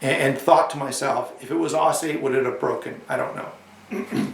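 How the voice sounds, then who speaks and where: A man's voice: medium pitch, fairly even intonation, normal speed, vocal fry, far from the mic, a little hiss or hum. Chatty man with a cold, echoey room. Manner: chatty, rambling with restarts and asides. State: has a cold, slightly hoarse. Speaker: knife reviewer. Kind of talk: casual product review to camera.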